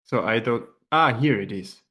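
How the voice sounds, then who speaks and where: A man from Switzerland whose first language is German chats as a guest on a video call. He jokes and laughs often in a relaxed, conversational way. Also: medium pitch, moderate pace. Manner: chatty and joking, laughs a lot. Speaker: student and podcast guest. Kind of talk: casual chat on a video call. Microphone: video call microphone.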